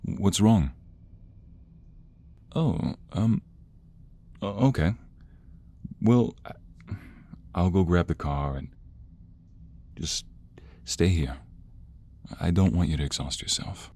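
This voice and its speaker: A man playing a protective, defiant mobster devoted to his lover, acted with earnest emotion and dramatic pauses in an audio roleplay. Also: low-pitched, mellow, heavily acted. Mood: worried. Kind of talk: audio roleplay romance acting.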